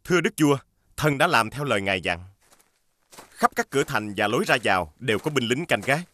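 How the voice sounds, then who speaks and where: A man playing a dutiful, concerned royal servant in an animated fairy tale, speaking formally and with deference. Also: medium pitch, fairly quick, no echo.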